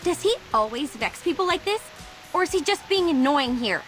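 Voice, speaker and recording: Earnest young game heroine, high and very expressive, easily flustered, light music under her. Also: moderate pace. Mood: flustered, annoyed. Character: earnest, easily flustered young female horror-movie fan. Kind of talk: English video game character acting.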